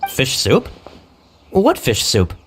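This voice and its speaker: A man playing an eager, earnest young investigator in a video game, excitable and emphatic. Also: mid-range voice, slow pace, slight background noise. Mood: puzzled, frustrated. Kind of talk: scripted video game dialogue.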